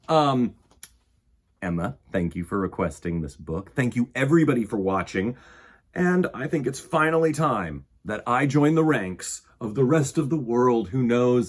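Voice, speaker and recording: A man hosting storytime for children, speaking in an expressive, dramatic way as he chats and reads. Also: medium pitch, slightly rough. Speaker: storytime host and reader. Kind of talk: chatty intro and animated children's storybook reading.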